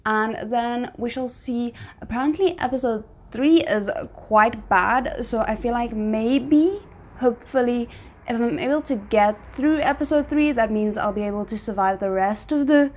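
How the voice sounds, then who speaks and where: Female reaction host, fairly high voice, casual off-the-cuff commentary, thinking aloud. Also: unhurried.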